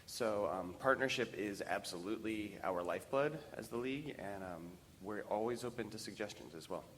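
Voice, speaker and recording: A man moderating a panel, pausing often with fillers as he talks. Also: lowish voice, a little hiss or hum. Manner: halting, many fillers. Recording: long hall reverb.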